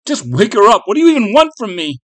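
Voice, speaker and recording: A man voicing a frightened, desperate young boy who pleads and shouts in an audiobook story. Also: high-pitched, big pitch swings, extremely slow, voice pushed up, full character voice. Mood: pleading. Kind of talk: audiobook character acting.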